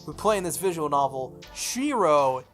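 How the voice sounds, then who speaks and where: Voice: medium pitch, very animated, a little slow, a bit bright. A gaming YouTuber reading a visual novel aloud and breaking off for casual, jokey commentary. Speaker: gaming YouTuber, let's player. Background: game music.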